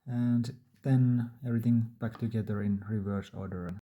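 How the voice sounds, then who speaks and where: A man's voice: fairly low pitch, fairly even intonation, moderate pace, warm tone, highs cut off. A man narrating a do-it-yourself repair tutorial in a plain, matter-of-fact, step-by-step way. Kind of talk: instructional DIY narration.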